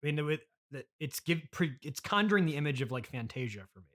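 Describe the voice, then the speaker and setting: Hesitant male interviewer with a bright, higher voice. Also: lively intonation. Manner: hesitant, halting, apologetic, bemused. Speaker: podcast interviewer. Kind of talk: improvised comedic interview.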